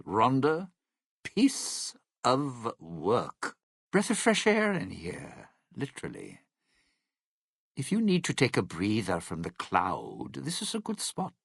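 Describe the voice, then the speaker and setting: A scheming, self-serving lounge crook from a video game. His voice is husky and creaky, with pitch that swings freely through wary, slangy wisecracks. The sound is sardonic and jaded. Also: no room sound, clean studio sound, heavily acted. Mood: uneasy, wry. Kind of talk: video game character dialogue.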